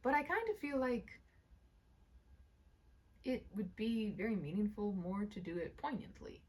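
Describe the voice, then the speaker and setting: A woman hosting a read-aloud, chatting casually in a gentle, rambling, expressive way. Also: medium pitch, unhurried, breathy edge. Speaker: storyteller and channel host. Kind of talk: casual chatty commentary and story narration.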